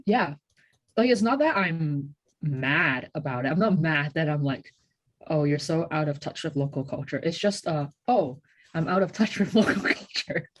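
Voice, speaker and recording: Young woman, low voice, podcast co-host, casual conversation, reflective, frequent "like". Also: expressive, moderate pace, dry recording, poor audio. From Hong Kong. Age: young adult. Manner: reflective, peppered with "like". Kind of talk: casual chat.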